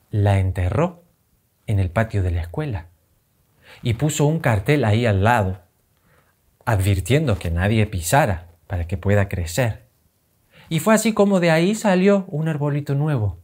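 A man in his thirties with an Argentine accent, telling a children's story expressively, with dramatic pauses and simple, deliberate phrasing. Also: mid-range voice, warm tone. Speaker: children's storyteller and channel host.

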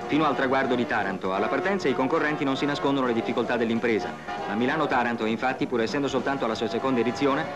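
A man giving brisk scripted newsreel commentary on a race. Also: medium pitch, over loud music. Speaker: sports newsreel narrator.

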